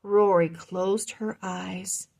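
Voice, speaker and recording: Female storybook reader: husky edge, unhurried pace, expressive delivery for young listeners. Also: medium pitch. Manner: expressive, deliberate. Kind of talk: children's read-aloud, scripted narration.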